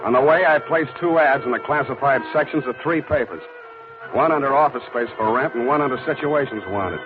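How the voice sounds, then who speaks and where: Guy playing wisecracking american noir detective, talks fast, old tinny radio sound. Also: medium pitch, natural voice. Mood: dry, weary. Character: wisecracking, hard-boiled male private detective, cynical and brisk. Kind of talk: 1940s radio noir narration and dialogue. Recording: muffled vintage radio broadcast with music.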